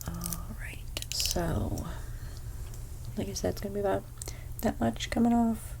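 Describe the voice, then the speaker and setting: A young woman with a stuffy nose giving an ASMR haircut role play as a hairstylist. She speaks softly, often slips into whispers, and makes gentle salon small talk. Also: mid-range voice, unhurried, gravelly, slight background noise. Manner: soft-spoken, drifting into whispers.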